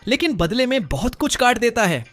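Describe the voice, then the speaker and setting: A man's voice: very high-pitched, sing-song, moderate pace. A man delivering scripted comic narration for funny cartoon recap videos. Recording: music bed behind the voice. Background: music and inserted comic sound clips.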